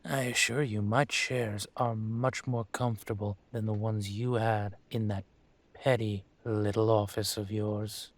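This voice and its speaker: A man playing an aging dragon mafia boss in a roleplay audio drama, speaking in a smooth, deliberate, courtly manner with veiled menace. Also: on the low side, flat delivery. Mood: suave, courteous. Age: older.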